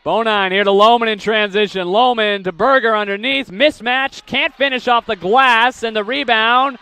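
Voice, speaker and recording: A young man calling basketball play-by-play, with rapid calls that climb sharply on big plays. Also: sits high, steady tone, sharp tone, smooth. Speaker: play-by-play announcer. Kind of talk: live basketball play-by-play commentary.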